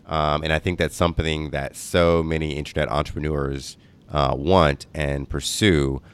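A man's voice: a bass voice, steady tone, normal speed, smooth. A man hosting a podcast interview, upbeat and full of praise, with frequent uhs while asking his questions. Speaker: podcast host.

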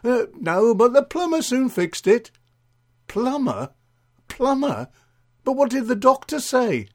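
A man's voice: very high-pitched, lively intonation, measured pace, a little mellow, pitched above the performer's own voice. A man voicing a gossipy, indignant older woman in a comic children's story. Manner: exclaiming, indignant, music-hall gossip delivery. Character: gossipy comic older woman, a dim, chatty canteen lady.

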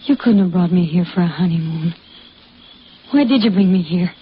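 An actress playing a betrayed, defiant wife in an old-time radio mystery, emotional and melodramatic. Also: female voice, lowish voice, moderate pace, husky. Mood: suspicious, uneasy. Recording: muffled, noisy old radio recording.

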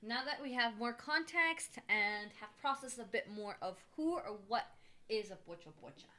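Bright-voiced woman co-host, code-switching. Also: fairly high pitch, expressive, moderate pace. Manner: affirming, frequent "uh-huh", mixes Spanish in. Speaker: podcast co-host. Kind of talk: casual podcast chat.

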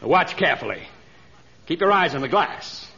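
An actor playing a sly, smug swindling stage magician and villain in an old radio adventure, theatrical, oily and taunting. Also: male voice, on the high side, animated, normal speed, creaky, pushed and bright, heavily acted. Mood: smug, gloating. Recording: dull old radio recording.

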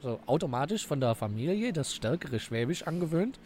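A man's voice: a little high, big pitch swings, brisk, darkish tone. Swabian man telling stories, faint background hum. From Swabia, Germany. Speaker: podcast guest. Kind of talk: casual chat.

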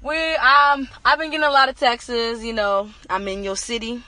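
Woman on a podcast, bright sharp voice, teasing, laughs a lot. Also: a little high, normal speed, no room sound. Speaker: podcast co-host. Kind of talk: casual chat.

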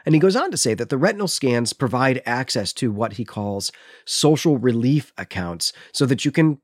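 A man's voice: medium pitch, animated, moderate pace, dry recording, studio quality. A man co-hosting a podcast, explaining and retelling a story in a conversational, lightly amused way. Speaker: podcast co-host. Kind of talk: conversational literary recap and commentary.

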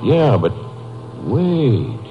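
An American actor playing a folksy, puzzled small-town sheriff in a 1950s radio drama. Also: male voice, medium pitch, huge pitch swings, very slow, faint music behind, heavily acted. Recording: muffled, noisy vintage radio recording.

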